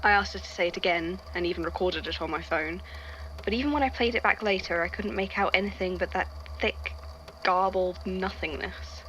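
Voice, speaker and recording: A woman playing a testy male archivist who reads eerie witness statements aloud in an audio drama, in a measured storytelling delivery. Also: fairly low pitch, a bit bright, a little hiss or hum, lightly acted, low-quality recording. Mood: reflective, uneasy.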